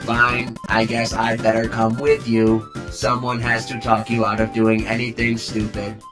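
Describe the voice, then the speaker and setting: A man's voice: on the low side, completely flat delivery, unhurried, music in the background, lightly acted. A man playing a skeptical, bookish student mage with a grudging manner in a game fan dub. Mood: grudging, disdainful.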